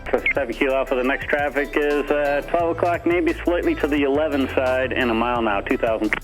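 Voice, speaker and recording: Male tower controller, quick clipped phraseology, radio transmission sound, music bed. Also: mid-range voice, poor audio. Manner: fast, clipped, routine radio calls. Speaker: air traffic controller.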